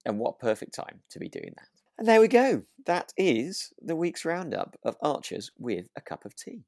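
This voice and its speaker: A man's voice: fairly high pitch, wildly swooping pitch, normal speed, gravelly, darkish tone, dry recording, studio quality. A man co-hosting a podcast in casual chat about a radio drama, agreeable, with hesitant, trailing phrasing. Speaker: podcast co-host.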